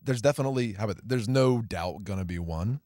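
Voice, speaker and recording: A young man co-hosting a movie chat, with a low, easygoing voice. He backs his partner up and often trips over his words as he goes. The mic is close and dry. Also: expressive, fast, warm tone, no room sound. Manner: agreeable, chimes in often, stammers and restarts. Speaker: podcast co-host. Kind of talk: casual movie podcast banter.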